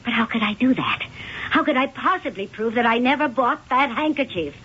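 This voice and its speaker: An actress playing an accused well-to-do woman, husky, pleading and indignant in old-time radio drama. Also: on the high side, lively intonation, a bit bright. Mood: indignant despair. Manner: halting, pleading, emphatic. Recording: dull old radio recording.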